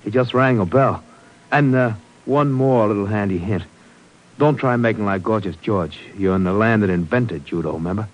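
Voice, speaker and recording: A man's voice: on the low side, normal speed, muffled recording, close to an everyday voice. An actor playing a cocky, menacingly playful gunman who talks in sardonic slang, in 1950s radio drama style. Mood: mocking threat. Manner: slangy, sardonic tough talk. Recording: slightly hissy 1950s radio recording.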